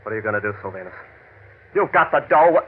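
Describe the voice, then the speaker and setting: A tinny, faintly hissy old radio recording of a defiant captive Westerner. He is mid-pitched and talks quickly, urgent and plain-spoken. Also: big pitch swings. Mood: urgent, resolute. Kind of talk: old-time radio drama acting.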